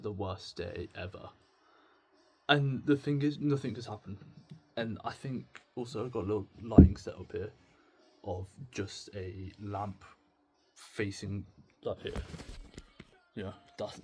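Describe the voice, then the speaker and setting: A young British man talking casually to camera in a confessional vlog, rambling, halting and self-deprecating. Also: on the low side, dark tone, breathy edge. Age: young adult. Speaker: video blogger. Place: indoors at home with music on in the background.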